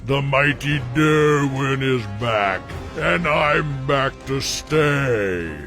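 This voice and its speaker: An actor voicing a haughty, menacing dragon who has seized a throne, speaking pompously for a cartoon. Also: male voice, mid-range voice, animated, a bit bright, faint music behind, heavily acted. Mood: smug, threatening. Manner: pompous, slow, grandiose. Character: mighty villainous dragon usurper king, haughty and menacing.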